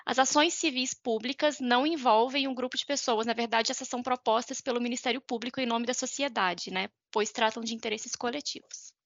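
A woman's voice: fairly high pitch, brisk, hardly any pauses, dry recording. A woman with a Brazilian Portuguese accent explaining law informally, hesitating and correcting herself as she goes. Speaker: podcast panelist explaining law. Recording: dull lo-fi, dry close sound.

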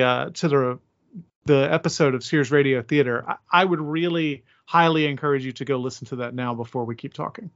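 A man with a somewhat high voice hosts a podcast conversationally. He states his opinions with emphasis. The sound is dry and close, with a slightly dull, lo-fi quality. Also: no echo. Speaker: podcast host.

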